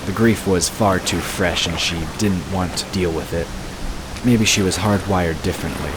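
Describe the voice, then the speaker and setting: A man narrating a scripted fantasy story in a measured storytelling manner. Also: low-pitched. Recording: noisy, with water sound effects behind. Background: rushing water ambience.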